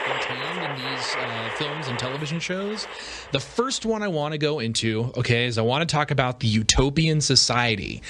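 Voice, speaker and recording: A man's voice: mid-range voice, expressive, moderate pace, very noisy. A man hosting a podcast with casual solo commentary, talking informally and conversationally with rhetorical asides. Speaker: podcast host.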